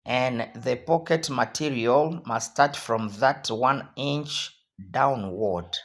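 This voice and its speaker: Slow, hoarse man teaching sewing. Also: medium pitch, a bit bright. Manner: slow, repetitive step-by-step instruction. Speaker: sewing tutorial host. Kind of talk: instructional tutorial.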